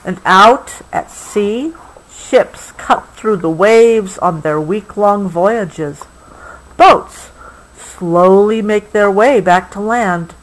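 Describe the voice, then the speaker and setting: A woman reads a picture book aloud to a child, gently adding explanations as she goes. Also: mid-range voice, drawn-out words, poor audio. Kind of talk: read-aloud storybook with explanatory asides.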